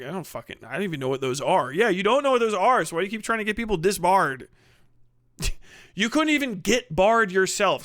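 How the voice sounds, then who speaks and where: A man's voice: sits high, a bit bright, no room sound. A man hosting a livestream, ranting casually with sarcasm and profanity, laughing at his own jokes into a close mic. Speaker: livestream host.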